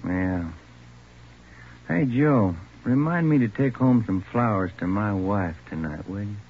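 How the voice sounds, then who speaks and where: Low-voiced detective sidekick. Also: male voice, expressive, a little slow. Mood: dry, easygoing. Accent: American. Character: plain-spoken detective partner, steady and dry. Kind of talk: 1940s radio crime drama acting. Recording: muffled 1940s radio, slight noise.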